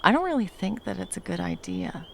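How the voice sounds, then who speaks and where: A woman playing a protective, tender monster hunter in an intimate ASMR roleplay, speaking haltingly and breathily, often dropping toward a whisper. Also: on the low side, wildly swooping pitch, creaky, fairly bright tone, a little hiss or hum, full character voice. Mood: reluctant, caring. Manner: halting, coaxing, speaking to a beloved. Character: wandering female monster hunter, fiercely protective, tender lover.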